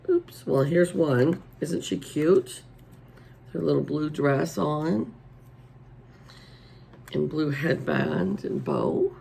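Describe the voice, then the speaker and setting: A raspy-voiced middle-aged woman hosting a casual show-and-tell, chatty and homey, filmed at her table at home. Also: deep voice, a little mellow, slight background noise. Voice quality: raspy today. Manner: chatty and homey, many ums. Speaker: coloring channel host. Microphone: overhead camera over the table.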